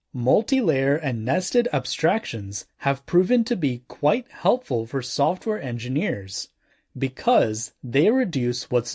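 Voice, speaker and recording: Slightly husky man narrating an audiobook. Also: mid-range voice, dark tone. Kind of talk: scripted non-fiction audiobook narration.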